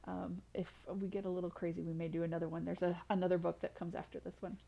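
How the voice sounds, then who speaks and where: A woman's voice: fairly low pitch, level tone, fast talker, creaky, highs cut off. A woman storyteller reading expressively to children. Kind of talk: expressive storybook read-aloud.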